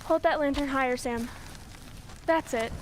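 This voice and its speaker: A woman acts out a nervous, indignant villager in an old-time radio play. The pitch is high and the delivery stagey, with faint noise behind. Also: unhurried. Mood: uneasy. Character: nervous, indignant small-town New England villager.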